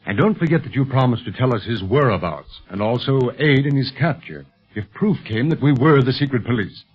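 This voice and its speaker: An actor playing a resolute secret police leader, firm and businesslike, with a husky voice in a 1930s radio serial. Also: male voice, medium pitch, animated, a little fast, soft background music. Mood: firm, urgent. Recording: muffled, lo-fi 1930s radio recording.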